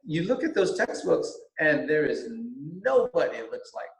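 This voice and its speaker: Middle-aged male teacher, Vietnamese first language, earnest and halting, recorded in a reverberant room. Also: a little high, big pitch swings. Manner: halting, many restarts. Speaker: teacher and school board candidate. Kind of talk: earnest interview talk. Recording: roomy echo.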